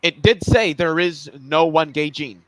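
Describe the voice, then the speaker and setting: A man's voice: a little high, a little slow, a bit bright, no echo, rough recording. A man in a heated casual chat, talking bluntly and swearing, indignant about a debate opponent. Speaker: co-host of a debate review stream.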